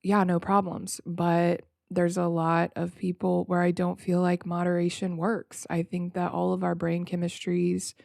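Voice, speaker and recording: Female solo podcast host: gravelly fry, slightly nasal, reflective freestyle rambling, very dry close sound. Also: fairly low pitch, monotone, darkish tone, dry recording. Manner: informal, reflective, halting and rambling with repeated words.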